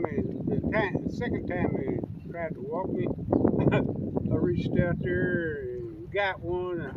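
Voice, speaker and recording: An older man reminiscing in a casual, folksy way with colloquial grammar, halting and repeating words as he tells stories. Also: very high-pitched, gravelly. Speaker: guest storyteller, uncle of the vlogger. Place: outdoors on a windy riverbank. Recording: muffled distant mic, loud wind noise.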